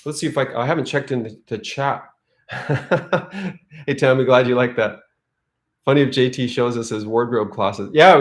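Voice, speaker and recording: Easygoing man streaming. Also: medium pitch. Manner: loose, chuckling, reads chat aloud. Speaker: livestream host. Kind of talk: casual livestream chat.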